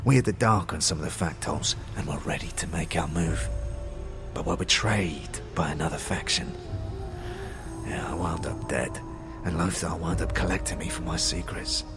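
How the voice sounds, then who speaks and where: An actor playing a talking skull, a dead anarchist who is bitter, conspiratorial and speaks in streetwise slang, in a fantasy audio drama. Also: male voice, deep voice, fairly even intonation, normal speed, vocal fry, music in the background. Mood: bitter, guarded. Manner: streetwise slang, scornful, guarded.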